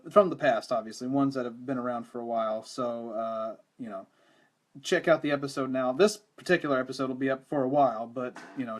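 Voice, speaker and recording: A young man hosting a live podcast stream, his voice hoarse and rattly, chatting casually and rambling with lots of restarts and self-deprecating asides. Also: mid-range voice. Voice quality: rattly, strained voice. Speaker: podcast host. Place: home room with mic. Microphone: studio microphone.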